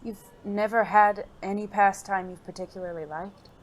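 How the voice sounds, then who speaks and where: A performer playing a gentle, refined gentleman companion in an audio drama, speaking formally, earnestly and in a measured way. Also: medium pitch, fairly even intonation, lightly acted. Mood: caring, hopeful. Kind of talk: intimate audio drama dialogue. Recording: faint noisy background ambience.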